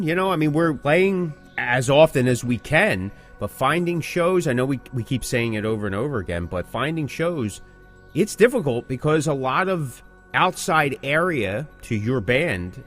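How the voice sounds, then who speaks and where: A man's voice: medium pitch, a bit bright. A man co-hosting a podcast in casual chat, repeating phrases for emphasis. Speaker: podcast co-host.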